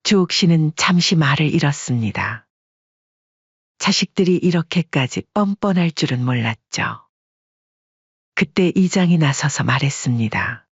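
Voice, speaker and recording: Male story narrator: husky, steady dramatic reading, close dry recording. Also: on the high side, a little slow, dry recording. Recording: dull lo-fi dry sound.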